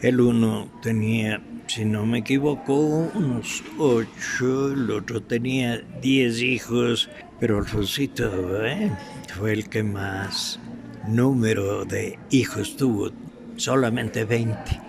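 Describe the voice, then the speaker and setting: Older male interview guest, Quito accent, slow gravelly delivery, halting and fond, noisy recording. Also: medium pitch, fairly even intonation. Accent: Ecuadorian, Quito. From Quito, Ecuador. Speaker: guest, veteran radio actor. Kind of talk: reminiscing in an interview. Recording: clearly audible background noise.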